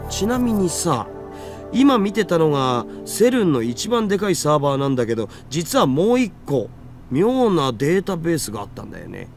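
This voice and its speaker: Nerdy young hacker, highish voice, swooping intonation, slangy, exasperated, game dialogue. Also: male voice, big pitch swings, very fast, darkish tone, soft background music. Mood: casual, puzzled. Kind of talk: anime-style game voice acting.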